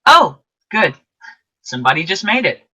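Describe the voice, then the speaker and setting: Actor doing a chirpy eager guardian angel in a radio play, really bright, swoops all over. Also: medium pitch, husky, heavily acted. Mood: excited, explaining. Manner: animated, emphatic, deliberate. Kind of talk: old-time radio play acting with narration to listeners.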